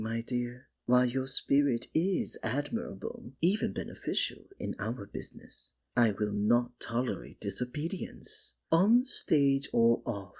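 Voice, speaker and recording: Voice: mid-range voice, a little mellow, breathy edge, heavily acted. A performer voicing a sinister, obsessive male stage magician in an ASMR roleplay, sweet-talking and possessive, with a theatrical, coaxing menace. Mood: menacing, stern.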